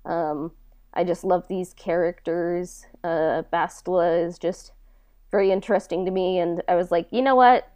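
A woman's voice: lowish voice, fairly even intonation, moderate pace, dark tone, clear, resonant tone, no room sound, clean studio sound. A woman podcaster being interviewed, chatting casually and reflectively in a modest, hesitant way. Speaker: podcast co-host being interviewed about own project.

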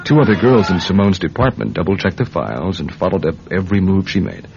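Radio drama spy lead: weary, clipped, gravel-low delivery over music, on a slightly dull recording. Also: male voice, expressive, quick pace. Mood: brooding. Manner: terse, low-key, hard-boiled. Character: world-weary male intelligence agent narrating his own case. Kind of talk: radio drama acting with first-person narration.

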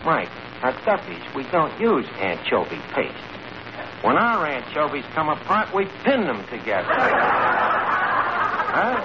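An actor playing a brash, scheming tavern manager in a 1940s radio comedy, with a New York accent and a bluffing, word-mangling way of talking. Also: male voice, on the high side, creaky, exaggerated voice. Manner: bluffing, mangles grammar and fancy words. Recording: noisy muffled old radio broadcast, audience behind.

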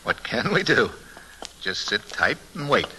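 Bright, animated 1940s radio sleuth husband. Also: male voice, mid-range voice, unhurried, a little hiss or hum, exaggerated voice, rough recording. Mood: bluffing, thoughtful. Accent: American. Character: brash, wisecracking amateur sleuth husband. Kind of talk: 1940s radio mystery acting. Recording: old radio drama with music.

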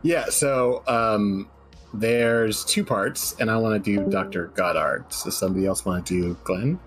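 A man's voice: lowish voice, measured pace, soft background music. A man guesting on a stream, moving between casual chat and reading from a script.

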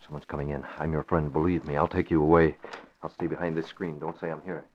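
An actor playing a masked crime-fighting young publisher in a 1940s radio drama, clipped and commanding. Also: male voice, a bass voice, flat delivery, quick pace, a little hiss or hum. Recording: dull lo-fi old radio recording.

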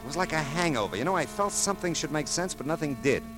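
An actor playing a wry, world-weary private detective who narrates and talks in a deadpan radio drama style. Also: male voice, fairly high pitch, fast talker, music in the background, lightly acted. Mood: brooding narration. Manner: deadpan, hesitant asides, dry wit.

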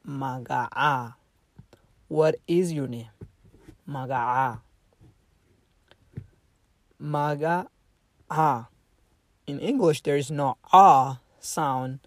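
Slow, halting male language teacher. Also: medium pitch, no room sound. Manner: halting, many fillers and restarts, repeats phrases slowly for learners. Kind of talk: casual online language lesson.

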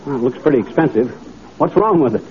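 An actor playing a breezy, scheming, folksy small-town husband with wheeler-dealer patter in old-time radio comedy. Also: male voice, mid-range voice, expressive, quick pace, close to an everyday voice. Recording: dull vintage radio recording.

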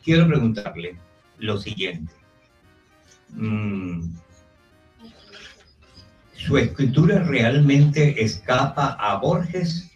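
A man speaking formally and haltingly as he puts remarks and questions to an author at a book presentation. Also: medium pitch, expressive, highs cut off. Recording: roomy, distant mic, faint music.

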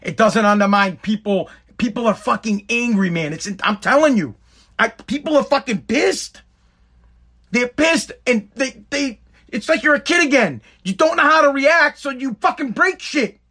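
A man delivers a fervent, expletive-laced monologue straight to his audience. His voice sits high and bright. He leans on repetition to drive each point home. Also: lively intonation, moderate pace. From United States. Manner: emphatic, profane, repetitive. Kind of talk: impassioned monologue to viewers.

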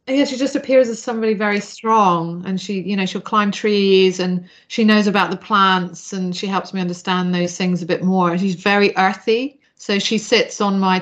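A woman from Zambia speaking as a podcast guest, explaining her spiritual practice in a reflective, discursive, earnest way. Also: medium pitch. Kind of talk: reflective interview talk about spiritual practice.

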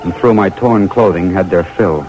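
An actor playing an intense, driven American army lieutenant in an old radio drama, with a dramatic delivery. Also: male voice, sits low, normal speed, lo-fi sound, loud music behind the voice, lightly acted. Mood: haunted narration. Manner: dramatic, urgent. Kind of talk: old-time radio drama acting, first-person narration.

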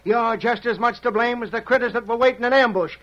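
An actor playing a feisty, folksy old gold prospector with an in an old radio drama. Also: male voice, extremely high pitch, fairly even intonation, quick pace, fairly bright tone. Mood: indignant. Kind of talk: 1940s radio western acting. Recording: dull old radio recording.

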